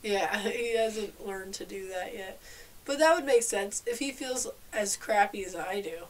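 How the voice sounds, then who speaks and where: A woman's voice: mid-range voice, a little slow. Congested young woman chatting casually. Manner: chatty, laughs often. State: congested, sick. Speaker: podcast co-host. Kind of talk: casual chat.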